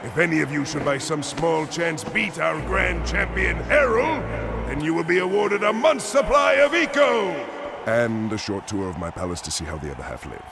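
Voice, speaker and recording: A man's voice: sits high, lively intonation, moderate pace, vocal fry, heavy background noise. A man playing a pompous, ruthless tyrant ruler in a video game, declaiming grandly with theatrical menace. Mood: gloating showmanship.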